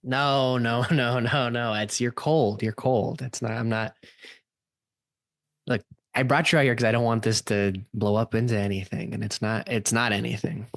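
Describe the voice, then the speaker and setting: A man's voice: mid-range voice, fairly even intonation, moderate pace, a bit bright, no room sound, clean studio sound, lightly acted. A man playing an anxious, evasive young man in an amateur radio play, hesitant and defensive, often repeating himself. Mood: flustered, defensive.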